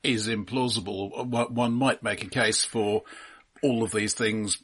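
A man's voice: on the low side, fairly even intonation, a little slow, fairly bright tone, slightly rough. A man co-hosting a film podcast, talking in a casual, analytical way.

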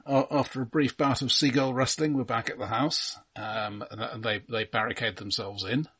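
Guy on a film podcast, slightly husky voice, halting and understated, mid pitch, just chatting. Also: fairly even intonation, fairly bright tone. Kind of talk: casual film discussion.

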